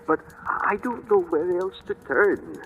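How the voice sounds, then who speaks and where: Halting, frightened old priest. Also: male voice, sits high, wildly swooping pitch, exaggerated voice. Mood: anxious, fearful. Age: older. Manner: halting, stammering, anxious. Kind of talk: 1940s radio acting. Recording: dull, noisy old radio broadcast.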